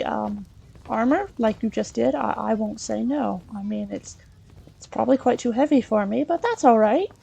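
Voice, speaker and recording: High, creaky, hesitant woman playing a scholar adventurer. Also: mellow, highs cut off. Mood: awkward, polite. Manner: hesitant, halting, politely inquisitive. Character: polite, curious, hesitant young female scholar adventurer. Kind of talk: improvised roleplay in a video game let's play.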